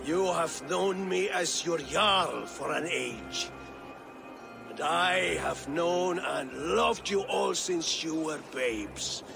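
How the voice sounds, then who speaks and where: An older actor playing an aging, proud and warm Viking chieftain in a video game, with a gravelly, weathered voice. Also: male voice, a little high, slow, music in the background. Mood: assured. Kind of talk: video game cutscene acting.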